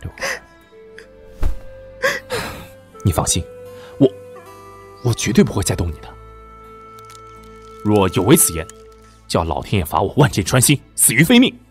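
A man's voice: on the low side, sing-song, moderate pace, long pauses, faint music behind. A man acting an earnest, theatrical prince regent in a Chinese costume audio drama. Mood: solemn. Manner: theatrical, with sighs and exclamations. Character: male prince regent, time-traveller in a nobleman's body, earnest, dramatic. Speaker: amateur voice actor.

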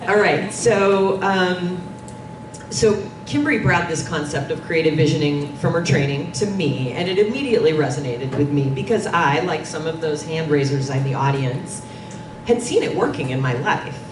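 A woman's voice: low-pitched, animated, normal speed. A middle-aged woman giving an informal, conversational presentation on a panel in a meeting room. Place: dim conference meeting room. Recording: distant, echoey, noisy room recording.

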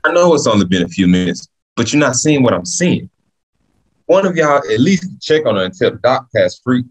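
A man's voice: medium pitch, very animated, moderate pace, breathy edge, poor audio. Young man acting a worried, frustrated man. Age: young adult. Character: worried, frustrated man pressing hospital staff for help. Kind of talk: script table read. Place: small plain room indoors, on a video call.

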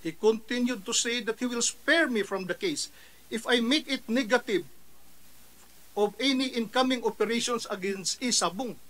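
A man's voice: extremely high pitch, moderate pace, rough recording. A middle-aged man with a Filipino accent, an official reading a prepared statement aloud at a hearing on a table microphone. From Philippines. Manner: reads steadily from papers, rising and louder when quoting his own retort. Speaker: official testifying at a Senate hearing. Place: large hearing room.

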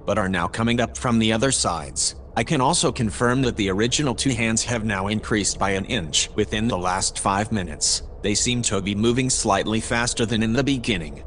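Male actor, calm analytical ship AI, low pitch, measured formal delivery, audio drama, faint background noise. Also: natural voice. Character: calm analytical AI narrator assistant aboard a spaceship.

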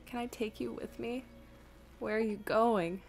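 A woman's voice: fairly high pitch, fairly even intonation, takes it slowly, gravelly, slight background noise. A woman giving playful, chatty, casual commentary as she streams a game.

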